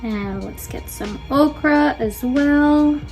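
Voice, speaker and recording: Slow-talking Filipino-American woman cooking. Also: high voice, a little mellow, faint music behind. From Philippines. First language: Tagalog. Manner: cheerful, enthusiastic, talks viewers through each step. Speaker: cooking channel host. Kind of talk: cooking demonstration.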